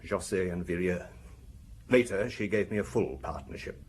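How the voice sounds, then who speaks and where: A man's voice: low-pitched, moderate pace, husky. A man in a radio drama playing a defensive, bitter pharmacist of forty, precise and prickly in his speech. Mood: wistful. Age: 40s. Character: defensive, bitter forty-year-old male provincial pharmacist suspect.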